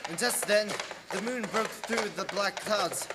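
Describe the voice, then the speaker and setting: An actor playing an anxious English gentleman held captive, narrating his diary with earnest, suspenseful theatricality in a radio play. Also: high voice, a little slow, heavy background noise. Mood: uneasy narration. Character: Jonathan Harker, anxious English gentleman, dread-filled captive diarist. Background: music.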